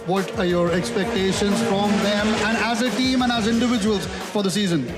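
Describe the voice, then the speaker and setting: A man hosts a live racing webcast and puts quick questions to team owners. His voice sits high and his delivery is brisk and upbeat. Music plays clearly beneath him. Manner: brisk, upbeat presenter with frequent "uh" fillers. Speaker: racing webcast host and pit reporter. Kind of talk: live motorsport webcast presenting and interviewing. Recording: music playing underneath.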